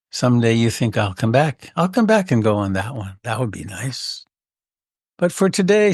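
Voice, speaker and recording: A man leading a guided meditation as a teacher, speaking gently and unhurriedly with frequent pauses. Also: mid-range voice, no echo, studio quality.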